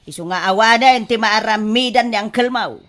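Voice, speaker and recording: A woman's voice: fairly high pitch, moderate pace, fairly bright tone, lightly acted. A woman playing a headstrong, scheming middle-aged mother in a radio drama, speaking emphatically and insistently. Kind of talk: Ilocano radio drama acting.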